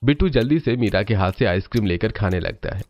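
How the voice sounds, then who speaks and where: A man's voice: on the low side, lively intonation, fast, a little mellow, faint music behind. A man narrating a scripted cartoon family story as the storyteller.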